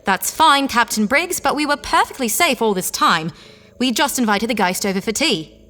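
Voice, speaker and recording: Bright high-voiced woman, crisp and bossy, lively delivery, music low behind. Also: big-room sound, background noise. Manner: brisk, prim and unflappable. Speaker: lead character, an explorer wife. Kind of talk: radio drama acting.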